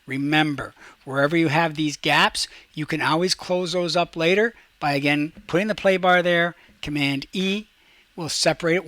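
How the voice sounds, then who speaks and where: Male instructor, fairly high voice, very dry recording. Casual step-by-step software walkthrough for students. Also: no room sound. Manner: talks through each step while demonstrating, checks in with "okay". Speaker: teacher.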